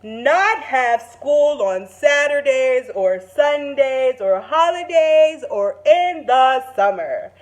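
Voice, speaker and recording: A woman's voice: sits high, expressive, slow pace, few pauses. A woman reading aloud as an earnest, proud male school principal in a storybook, declaiming emphatically with stretched-out words. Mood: emphatic announcing.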